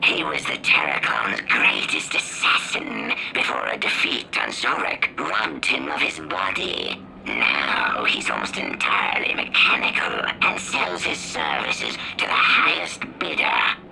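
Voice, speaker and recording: A man voicing a calm, cryptic alien villager in a video game, telling old tales in a measured, solemn way. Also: extremely low pitch, gravelly, a little hiss or hum, heavily acted, rough recording. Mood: solemn, mysterious. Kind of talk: video game character narration.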